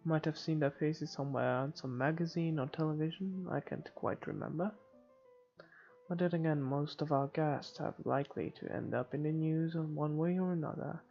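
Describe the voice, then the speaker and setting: Gravelly man reading game narration. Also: a little high, highs cut off. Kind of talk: reading visual novel narration aloud. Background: faint game music.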